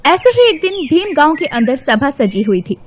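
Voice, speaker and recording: High, animated woman storyteller. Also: moderate pace, a little hiss or hum. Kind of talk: expressive scripted children's story narration.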